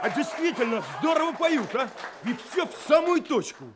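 A simple-hearted, marvelling spectator in a radio drama. His very high voice is colloquial, set amid a loud crowd and heavy noise. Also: lively intonation, normal speed. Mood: admiring. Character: admiring, simple-hearted male spectator at agitprop show. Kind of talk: Soviet radio drama dialogue.

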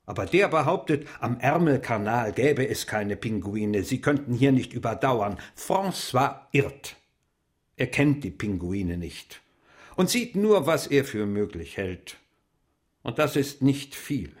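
A man playing a brooding diarist in a radio play, delivering a reflective, poetic monologue in a deliberate manner. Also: mid-range voice, big pitch swings. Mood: musing, stubborn. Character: brooding, literary male diarist observing the sea.

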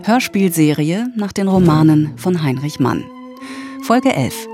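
A woman's voice: low-pitched, unhurried, over music. A woman formally reading the closing credits of a radio play. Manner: formal, even announcing. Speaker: announcer. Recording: dry studio.

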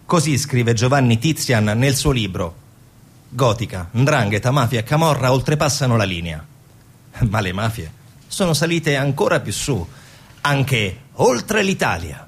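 A man with a husky voice giving scripted dramatic narration for a theatre piece. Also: mid-range voice, big pitch swings, measured pace.

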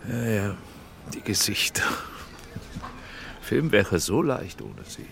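A man's voice: on the low side, wildly swooping pitch, slow, vocal fry, a bit bright, slight background noise, exaggerated voice. An actor playing a weary, sardonic film man in a radio drama, dry and musing. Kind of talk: German radio drama acting.

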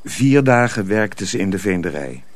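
A man's voice: sits low, big pitch swings, moderate pace, a little hiss or hum. A man narrating a radio play in scripted storybook style.

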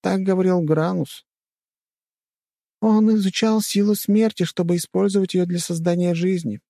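Man putting on a solemn, high-pitched young woman. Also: fairly even intonation, moderate pace, creaky, warm tone, dry recording, studio quality. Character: solemn young woman, one of two sisters.

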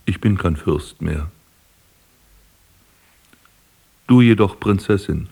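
Deep, husky, proud aging prince. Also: male voice, lively intonation, normal speed, dark tone, exaggerated voice. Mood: solemn, pleading. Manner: solemn, declamatory, poetic. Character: proud, possessive aging prince, master and father figure. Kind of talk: literary German radio drama acting.

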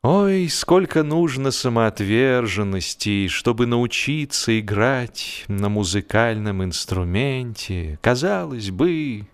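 A man performing a humorous literary narration in an expressive, mock-serious manner with dramatic pauses. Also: mid-range voice.

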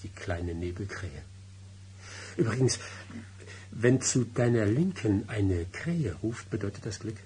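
An actor playing a secretive, brooding, superstitious middle-aged husband in a German radio drama, grave and insistent in manner. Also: male voice, fairly low pitch, lively intonation, measured pace, pauses often, mellow, husky, heavily acted. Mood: evasive.

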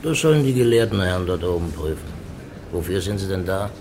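Low-voiced, blunt guard, noisy background. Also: male voice, animated, a little fast, a little mellow. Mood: wry. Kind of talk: German radio play acting. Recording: noisy radio drama with background ambience.

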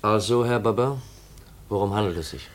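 An actor playing an earnest, troubled young man with a halting delivery in a 1950s German radio play. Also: on the low side, a little hiss or hum, close to an everyday voice. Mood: polite, reserved. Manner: halting, repeats words when upset.

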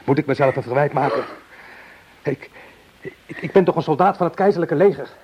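An actor playing a troubled, defensive imperial soldier with an earnest, dramatic delivery in a Dutch radio drama. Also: male voice, fairly high pitch, a little fast, lo-fi sound, natural voice. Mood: distraught, defensive. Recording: noisy old radio play recording.

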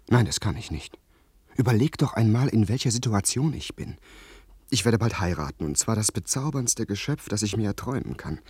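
A man's voice: on the low side, breathy edge, no echo, exaggerated voice. A man playing an earnest, vain, lovestruck young English aristocrat with florid, theatrical delivery in a German radio play.

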